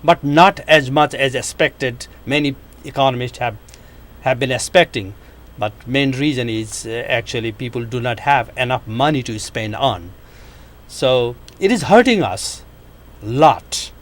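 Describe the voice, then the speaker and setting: Slow, measured man. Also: medium pitch, lively intonation, fairly bright tone. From Nepal. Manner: measured, hesitant, with frequent pauses and fillers. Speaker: economics professor, guest. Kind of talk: studio interview answers. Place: university TV studio.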